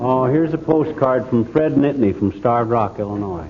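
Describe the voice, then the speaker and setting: An actor playing a blustering, scatterbrained middle-aged husband with a folksy manner in 1940s radio comedy. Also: male voice, medium pitch. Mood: muddled. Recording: noisy muffled 1940s radio recording.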